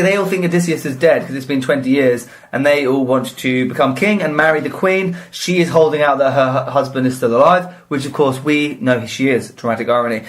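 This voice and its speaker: A young man from the south of England co-hosting a podcast, retelling a story with dry, deadpan humour. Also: fairly high pitch. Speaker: podcast co-host. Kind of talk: casual comedic chat.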